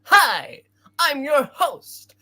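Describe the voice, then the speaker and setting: A woman's voice: high-pitched, very animated, extremely slow, pushed and bright, full character voice. A woman voicing an effusive male parade host from a comic book who is secretly a villain, in a grandiose, gushing manner.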